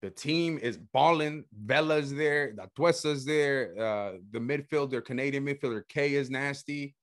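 Young man, from El Salvador, energetic casual host, medium pitch, dry sound. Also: unhurried, dry recording. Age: young adult. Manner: energetic, says "bro" a lot. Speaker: podcast host. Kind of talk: casual chat.

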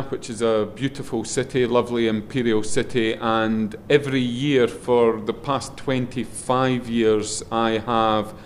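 A middle-aged man with a naturally loud voice chats in an easygoing, rambling way, pausing often on ums and picking his sentences up again. His pitch sits in the ordinary middle, and a faint rumble of noise runs under the recording. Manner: rambling, with frequent ums and restarts. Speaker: guest, head of a schools group. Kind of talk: relaxed conversational interview.

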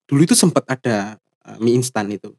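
A man's voice: medium pitch, expressive, brisk, creaky, dark tone. Playful, laughing man chatting casually. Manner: playful, laughs often, storytelling. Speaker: podcast co-host. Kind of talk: casual banter.

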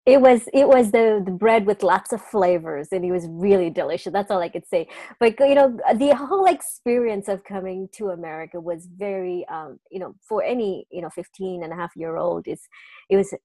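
A middle-aged woman, English her second language, slowly recounting her arrival as a refugee, with pauses and restarts. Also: medium pitch, mellow, no echo. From a war-torn country, came as refugee. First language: not English. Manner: slow, halting, with restarts. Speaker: guest. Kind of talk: personal storytelling in conversation.

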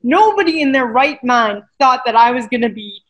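Woman, high-pitched voice, fitness coach, interview guest, animated, emphatic. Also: normal speed, a little hiss or hum. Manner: animated, emphatic, keeps asking "right?". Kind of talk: casual interview conversation.

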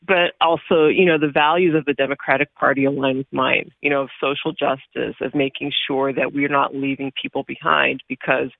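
A woman's voice: very deep voice, flat delivery, a little fast, vocal fry, hardly any pauses, no echo. Woman in her forties on a phone line. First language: Hebrew. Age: 40s. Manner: earnest, repeats phrases for emphasis. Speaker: political candidate, guest. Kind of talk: phone interview on radio.

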